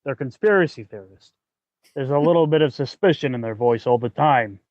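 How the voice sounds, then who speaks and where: A man hosting a podcast in casual, explanatory talk, often halting as he thinks aloud. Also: mid-range voice, dry recording, dull audio. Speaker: podcast host; working actor.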